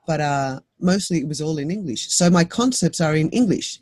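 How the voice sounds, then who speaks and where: A woman's voice: sits low, lively intonation, moderate pace, darkish tone, no echo, studio quality. A woman from Greece who grew up in Australia, interviewing in a chatty way, laughing often and searching for words. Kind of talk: casual interview chat.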